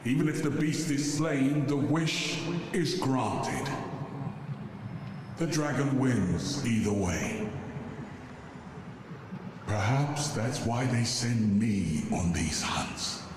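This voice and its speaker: Solemn warrior, slow, cavernous echo. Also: male voice, medium pitch. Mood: solemn, weary. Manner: grave, deliberate, formal storyteller speech. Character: solemn weary warrior speaking to a slain dragon. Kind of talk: dramatic video game cutscene acting. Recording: cavernous long reverb over noisy ambience.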